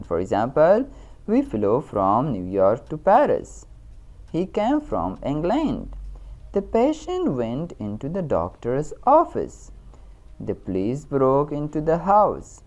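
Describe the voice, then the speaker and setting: A woman with a Pakistani accent giving a scripted grammar lesson as a teacher, in a deliberate, instructional manner. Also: a bass voice, warm tone. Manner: instructional, deliberate, repeats key terms. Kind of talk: scripted lesson narration with examples.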